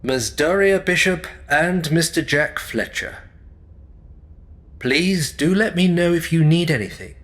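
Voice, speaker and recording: A man's voice: fairly high pitch, lively intonation, a bit bright. Man as creepy, formal, lightish-voiced butler. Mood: ominously polite. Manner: formal, deliberate, ominously polite. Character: creepy, formal, inscrutable manor servant. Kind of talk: audio drama acting.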